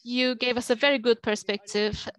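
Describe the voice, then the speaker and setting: Woman in her thirties moderating a webinar, fairly high voice, brisk, from home on a video call. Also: steady tone, smooth. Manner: brisk, apologetic about time. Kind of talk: moderating a panel.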